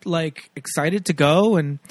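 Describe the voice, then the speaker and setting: A man's voice: a little high, unhurried, dry recording. A man, a podcast host, telling stories in a chatty way with many fillers in a casual film chat.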